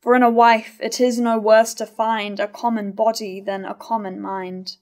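Tragic chorus, morally stern, played by a young woman, higher-pitched, reading verse on stage. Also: level tone, moderate pace, natural voice. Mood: moralising, measured. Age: young adult. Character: moralising chorus judging a wife's virtue. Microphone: lectern microphone.

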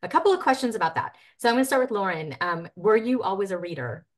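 A woman's voice: mid-range voice, animated, fast. A middle-aged woman, a librarian, moderating an author panel over a video call with encouraging questions. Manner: warm encouraging questions with soft "mm-hmm"s.

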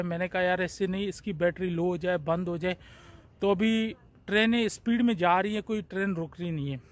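Man vlogging on a night platform. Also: sits high. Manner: chatty, relaxed, talks to the camera. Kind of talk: casual vlog narration. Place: outdoor train platform at night. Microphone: phone at arm's length. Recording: faint outdoor background noise.

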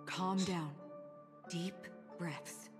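An actress playing a calm, grave young woman in a game, speaking in a hushed, halting way. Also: lowish voice. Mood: calm concern. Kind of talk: video game cutscene acting. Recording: long reverb, game music behind.

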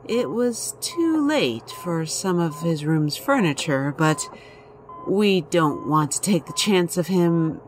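A female hospital doctor in an audio drama. She is calm and clinical, speaking slowly in a lowish but lively voice. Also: faint music behind, poor audio. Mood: puzzled, clinical. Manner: measured, clinical. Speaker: voice actor.

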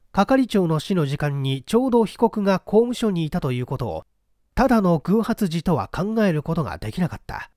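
A man's voice: a little high, a little mellow, no room sound, clean studio sound. A man reading a mystery story aloud as scripted narration. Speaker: audiobook narrator.

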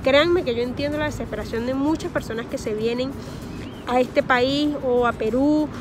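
A woman's voice: high voice, normal speed, over music. A woman in her thirties with a Venezuelan accent, talking to camera outdoors in a park and earnestly giving advice, often repeating words as she searches for them. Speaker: vlogger. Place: park outdoors among trees. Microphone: phone or camera at close range, face masked.

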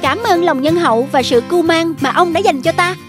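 A woman voicing a small, gentle sparrow in a children's fairy-tale cartoon, with a polite manner. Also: very high voice, brisk, voice pushed up. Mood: gracious. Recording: music behind the voice.

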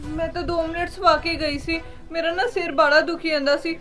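A woman playing a second wife who excuses herself softly in a family drama. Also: extremely high pitch, steady tone, a little fast, soft background music. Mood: defensive. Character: meek second wife, defensive.

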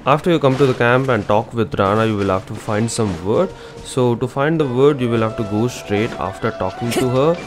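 A man's voice: mid-range voice, moderate pace, hardly any pauses. A man narrating a game walkthrough as an informal, instructional gaming channel host. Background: game music.

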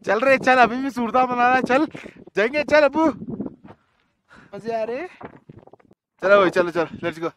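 A man's voice: very high voice, a little slow, pauses often. A young man from Hyderabad, teasing and mock-scolding his friends in casual banter outdoors. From Hyderabad, India. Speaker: road-trip friend. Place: outdoors at a high-altitude lake. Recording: outdoor crowd noise behind.

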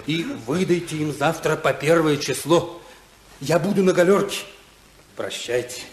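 An actor playing a cheerful, gloating associate in a 1950s radio play, with a jaunty manner. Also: male voice, a little high, unhurried, lightly acted. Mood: gleeful.

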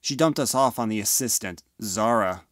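A man's voice: lowish voice, moderate pace, fairly bright tone, dry recording, clean studio sound, natural voice. A man playing a moody, offhand teenage older brother in an amateur audio drama. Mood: resentful.

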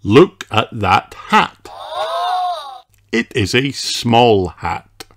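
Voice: medium pitch, sing-song, very slow, very noisy. A narrator reading a picture book aloud for young children in a storytelling style.

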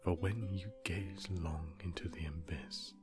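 A man giving a solemn, hushed storytelling narration in a grave, drawn-out ASMR manner. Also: sits low, fairly even intonation. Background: plucked string music.

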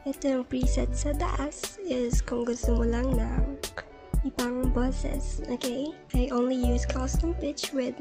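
Slow-paced, high-voiced Filipino woman tutorial host. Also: steady tone. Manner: instructional, often checking in with "okay?". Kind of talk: casual step-by-step tutorial narration. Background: music.

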